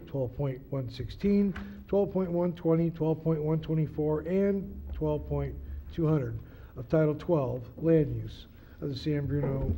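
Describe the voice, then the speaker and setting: A man chairing a public meeting, formally reading out an agenda item and clearing his throat. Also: a little high, mellow, a little hiss or hum, poor audio. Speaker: commission chair.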